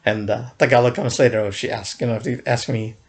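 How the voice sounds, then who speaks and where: A man's voice: mid-range voice, steady tone, fast talker. A young man casually recounting a fan event in a vlog, rambling with many fillers and restarts, often chuckling. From United States.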